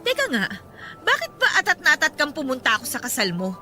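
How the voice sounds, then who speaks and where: A woman's voice: sits high, huge pitch swings, fast talker, sharp tone, faint music behind, exaggerated voice. An actress playing an indignant, sarcastic mother who feels bitterly wronged, in an emotional radio drama style. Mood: confrontational, suspicious. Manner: biting, sarcastic retorts.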